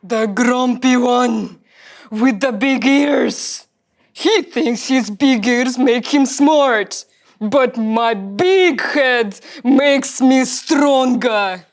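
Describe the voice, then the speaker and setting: Boastful alien brute: ponderously slow, high-set, pompous broken speech, menacing and stupid. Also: female voice. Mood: pompous, demanding. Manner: broken grammar, blunt pompous declarations. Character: boastful dim-witted big-headed alien warlord, menacing. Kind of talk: character table read of sci-fi script.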